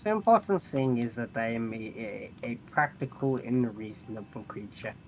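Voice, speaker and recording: A man voicing a haughty, pragmatic mechanical creature who talks down to mortals in a theatrical, halting way during a tabletop roleplaying game. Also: medium pitch, lively intonation, a little hiss or hum, lightly acted, poor audio. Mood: matter-of-fact. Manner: condescending, theatrical, hesitant phrasing.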